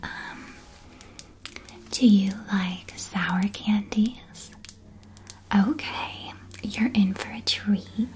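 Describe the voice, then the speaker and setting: Woman playing a softly whispering friendly witch shopkeeper. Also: medium pitch, fairly even intonation. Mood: playful, enticing. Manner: gentle, welcoming, unhurried whispering. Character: friendly witch shopkeeper of a magical sweets shop. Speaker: ASMR performer. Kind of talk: ASMR roleplay.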